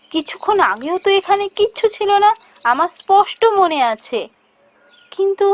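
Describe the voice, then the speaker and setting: A woman plays a practical village mother in a fairy-tale cartoon. Her voice is high and swings up and down with every phrase. She sounds fretful, expressive and exclamatory.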